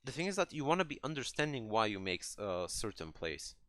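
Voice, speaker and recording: A man with a bright, crisp-edged voice works as a gaming coach, talking a learner through a replay. He explains conversationally, restarts his phrases and keeps checking in, and the sound is close and dry. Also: mid-range voice, big pitch swings, fairly quick, no echo. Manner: hesitant phrasing with many restarts, checking understanding with "right?".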